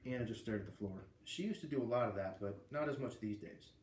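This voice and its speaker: American man, children's read-aloud, casual storyteller delivery, mid-pitched voice, echoey room. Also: quick pace, a little mellow, slight background noise.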